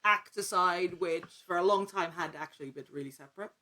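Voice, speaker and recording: A woman talking casually as an interview guest, telling her story in an animated, chatty way. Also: lowish voice, brisk. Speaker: podcast guest, actor.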